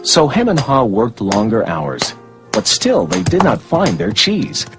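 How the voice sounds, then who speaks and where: A man giving scripted storybook narration in an expressive way, with music behind him. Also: mid-range voice, a little slow.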